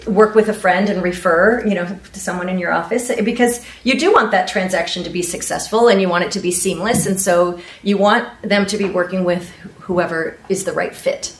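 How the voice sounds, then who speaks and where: A woman's voice: lowish voice, fairly quick, few pauses. A woman in her forties from Ecuador, a real estate agent giving earnest answers in a panel interview, with Spanish as her first language. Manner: earnest, gestures while explaining. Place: meeting room in front of a large screen. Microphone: far camera mic. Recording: echoey room from far off, faint noise.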